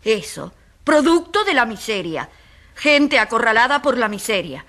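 A woman's voice: fairly high pitch, big pitch swings, normal speed, fairly bright tone. An actress in a radio drama playing a passionate, indignant woman who defends the poor, speaking emphatically in repeated, building lists. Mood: indignant, impassioned.

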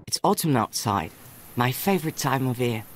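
A man's voice: medium pitch, very animated, normal speed, a little hiss or hum. A man playing an earnest, caring young country boy and protective older brother, narrating reflectively in a video game.

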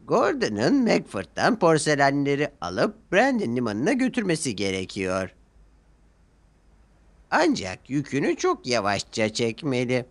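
A man voicing a prim, bossy lady who gives out orders slowly and carefully in a cartoon. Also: mid-range voice, wildly swooping pitch, voice pushed up. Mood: instructing. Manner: slow, precise instructions. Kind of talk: cartoon dubbing.

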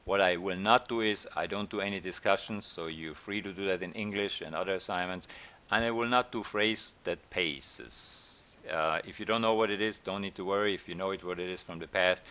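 A middle-aged man with an Austrian accent, talking through course slides as a science teacher in a relaxed way, with many ums and uhs. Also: low-pitched, a little fast, smooth, muffled recording. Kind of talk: recorded slide lecture.

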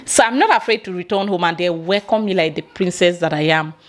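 A woman's voice: on the low side, brisk. Nigerian woman ranting to camera. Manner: indignant, emphatic, gestures while ranting. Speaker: vlogger. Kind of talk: opinion vlog to camera. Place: small indoor room.

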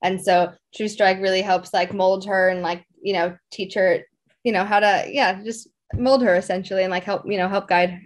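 A woman's voice: medium pitch, steady tone, moderate pace. A woman voice actor giving a casual interview, with informal, loose phrasing peppered with filler words.

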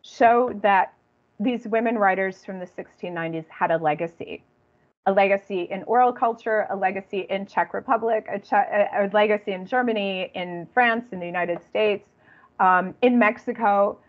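A woman lectures informally over a video call. Her pitch is average and she proceeds haltingly, reworking phrases as she speaks. The audio is dull and lo-fi. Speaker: guest lecturer and author. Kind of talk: informal academic online talk. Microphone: computer video call.

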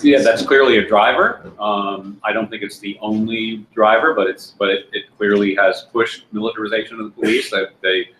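An older man, a candidate, answering questions at a panel discussion in a restaurant room, pausing and restarting often. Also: lowish voice, fairly even intonation, a bit bright. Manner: halting, many restarts and fillers. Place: restaurant room with brick walls. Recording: echoey room, faint noise.

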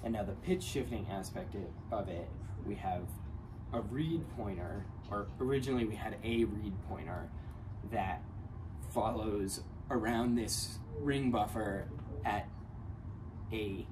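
A young man casually explaining his student engineering project step by step, with relaxed fillers, in a university lab. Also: fairly low pitch, unhurried, noisy background. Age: young adult. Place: large university electronics lab, indoors. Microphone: phone camera across the room. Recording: distant mic in a lab. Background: guitar sounds.

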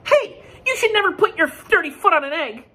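A man voicing a bossy, excitable duck from a children's picture book, scolding and exclaiming emphatically. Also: extremely high pitch, brisk, voice pushed up, exaggerated voice. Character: excitable, bossy, possessive young male cartoon duck.

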